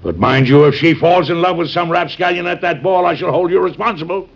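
A man's voice: a little high, a little fast, vocal fry. An actor playing a stern, doting, fretful middle-aged father of grown daughters in classic American radio drama, with formal, lecturing speech. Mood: blustering. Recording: muffled old radio recording.

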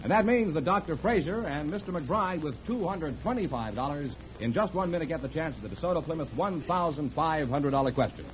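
An American man reads scripted announcements in a polished, formal 1950s radio manner. His intonation is very lively. The recording is dull and band-limited, with music clearly audible behind him. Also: on the high side, brisk, hardly any pauses.

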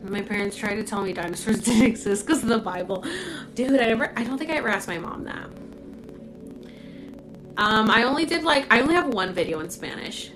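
A woman's voice: on the high side, lively intonation, fairly quick, fairly bright tone, soft background music. A young woman from Puerto Rico, raised in Florida, hosting a casual livestream and answering viewers' comments. She talks bluntly and candidly, swears freely, and fills her talk with "like," speaking into a phone. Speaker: livestream host.

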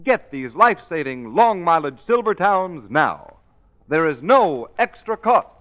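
A man's voice: a little high, sing-song, unhurried. A man announcing a commercial in formal, emphatic 1940s radio style. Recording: dull vintage radio recording.

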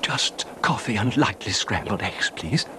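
A man's voice: lively intonation, a little slow. An actor playing a nervous, apologetic, well-off little man in a radio drama, speaking tentatively and deferentially. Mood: meek, wistful. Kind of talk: old-time radio horror drama acting. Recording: noisy radio recording, train rumble.

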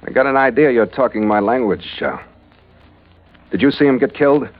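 An actor as a tough, deep-voiced radio sea captain. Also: male voice, fairly quick, lightly acted. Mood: urgent, probing. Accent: American. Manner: clipped, wisecracking, hardboiled. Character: tough, wry American ship captain telling his own adventure. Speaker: lead actor and narrator. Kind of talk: 1940s radio adventure acting with hardboiled narration. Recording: muffled vintage radio recording with music.

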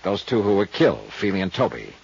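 An actor playing a laconic, world-weary investigator in 1950s radio drama, dry, clipped and matter-of-fact. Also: male voice, deep voice, wildly swooping pitch, brisk, creaky, a little mellow, husky, exaggerated voice. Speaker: lead detective and narrator. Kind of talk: 1950s hard-boiled radio acting and first-person narration. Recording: dull old radio recording.

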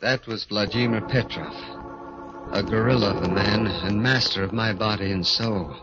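A man's voice: lowish voice, lightly acted. A man playing Gorgo, a timid, stammering dwarf. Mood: brooding. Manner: halting, stammering, pleading in dialogue. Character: timid, bitter adult male dwarf performer. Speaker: narrator and lead character. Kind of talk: 1940s radio drama acting. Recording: dull old radio recording with music.

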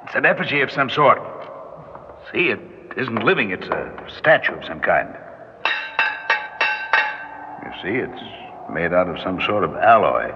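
An actor playing an earnest scientist doctor on a space expedition in an old radio science fiction drama. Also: male voice, fairly low pitch, very animated, measured pace, long pauses, heavy background noise. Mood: intent. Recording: muffled old radio recording, music behind.

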